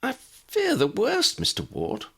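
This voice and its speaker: A man's voice: very high-pitched, wildly swooping pitch, slow, vocal fry, sharp tone, breathy edge, voice pushed up, heavily acted. A man voicing an anxious, faltering elderly neighbour woman in an audiobook, fretful and polite. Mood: worried. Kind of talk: audiobook character voice.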